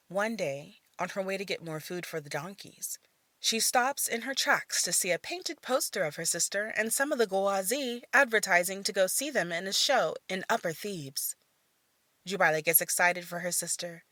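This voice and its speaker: Woman telling a scripted story, sharp bright voice, super dry recording. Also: mid-range voice, no room sound. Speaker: narrator. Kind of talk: scripted audio drama narration.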